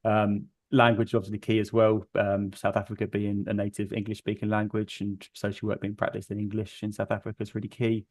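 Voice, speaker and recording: A man's voice: on the low side, monotone, fairly quick, few pauses, no echo. A man speaking as a recruitment business director on a webinar panel, matter-of-fact and businesslike, over a video call.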